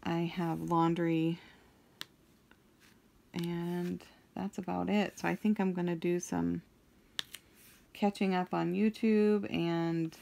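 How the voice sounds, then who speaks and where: Young woman, deep monotone voice with some gravel, unhurried rambling craft-vlog chatter. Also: slight background noise. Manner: slow, meandering, many ums and trailing thoughts. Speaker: craft vlogger. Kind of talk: rambling chat while crafting.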